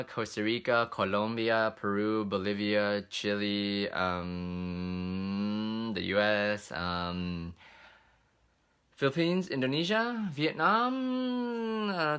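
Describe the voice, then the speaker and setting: A man's voice: on the low side, fairly even intonation, measured pace, a bit bright, smooth. A man who hosts travel videos, chatting casually in a live question-and-answer session, informal and rambling as he thinks aloud. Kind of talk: casual livestream Q&A, answering read-out comments.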